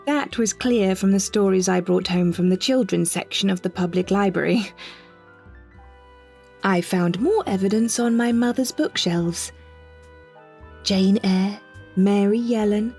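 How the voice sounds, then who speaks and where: A woman performing an audio drama monologue as an older woman wryly recalling her childhood, in a measured, precise, slightly husky voice with deliberate pauses. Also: medium pitch, mellow, faint music behind. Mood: wry, reflective. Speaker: monologue narrator. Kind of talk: scripted first-person audio drama narration.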